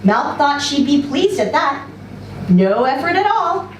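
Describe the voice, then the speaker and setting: A woman giving an expressive literary narration as a reader at a live story event. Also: sits high, unhurried, a crowd in the background. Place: social venue, live reading. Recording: roomy, distant mic, faint noise.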